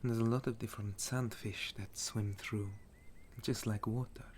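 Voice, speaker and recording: A man voicing a gentle, centuries-old sun elf and retired soldier in an intimate ASMR roleplay, soft and breathy, often slipping into a whisper, with a hesitant storytelling manner. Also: lowish voice, steady tone, slight background noise, close to an everyday voice. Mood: relaxed, musing. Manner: slow, hesitant, softly chuckling storyteller.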